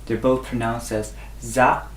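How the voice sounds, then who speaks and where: A man's voice: mid-range voice, little pitch movement, a little slow, echoey room. A man whose first language is Burmese, teaching a language lesson and patiently repeating each sound three times. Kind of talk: slow instructional lesson.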